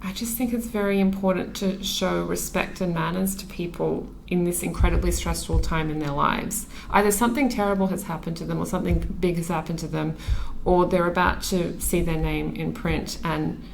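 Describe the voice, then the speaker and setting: A woman's voice: lowish voice, fairly even intonation, normal speed, creaky, hardly any pauses, a little hiss or hum, rough recording. A woman in her thirties from Australia, a magazine editor talking as a podcast guest, earnest and thoughtful, with frequent ums and restarts. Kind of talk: relaxed conversational interview.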